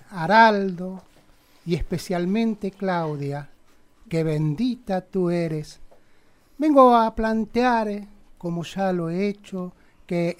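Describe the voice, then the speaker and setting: An actor playing a pompous evangelical town councillor, preachy and halting, in a satirical radio play. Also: male voice, high-pitched.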